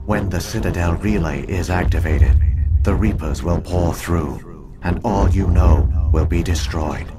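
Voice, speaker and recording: A deep-voiced ancient artificial intelligence from a science fiction game. It is the grave keeper of a dead people and speaks in measured, formal phrases. Clear music plays behind it. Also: male voice. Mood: solemn warning.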